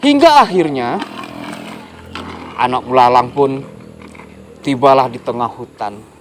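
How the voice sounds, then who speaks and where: Animated, slow-paced children's storyteller. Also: mid-range voice, slight background noise. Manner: animated, addresses children directly.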